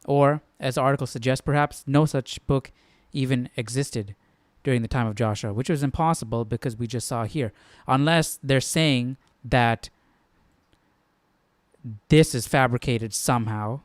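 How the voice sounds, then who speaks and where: Casual male livestream host, average pitch, close dry sound. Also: moderate pace, no room sound. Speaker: host of a Bible study livestream. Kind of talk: casual live commentary on a reading.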